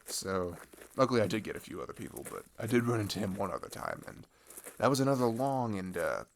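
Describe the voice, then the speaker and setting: Low-pitched, rambling teenage boy, played by a man. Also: big pitch swings, fast, creaky, slight background noise. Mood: amused unease. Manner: rambling, hesitant, slangy, filler-heavy, giggly. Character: chatty, sarcastic teenage boy traveler swapping road stories. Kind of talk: improvised-sounding casual roleplay audio.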